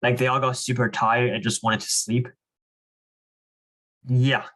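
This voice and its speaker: A young man speaks at an unhurried pace in a medium-pitched voice. He leads a children's reading club, casually explaining things and reading aloud. His phrasing is informal, full of small conversational asides. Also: little pitch movement, a bit bright. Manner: informal, frequent "like" and "you know". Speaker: student reading club leader.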